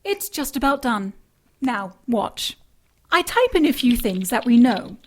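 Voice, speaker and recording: An actress with a British accent playing a tech-savvy, teasing partner in an audio drama, speaking precisely and dryly. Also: female voice, fairly high pitch, very animated, a little slow. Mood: smug, brisk.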